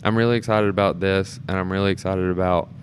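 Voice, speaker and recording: A man chatting casually and telling stories in an animated way, acting out how people react. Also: sits low, quick pace. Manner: animated storyteller, acts out reactions. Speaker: guest, amateur stage performer. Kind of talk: casual storytelling chat. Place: temporary studio in a theatre. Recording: clear background noise and room ambience.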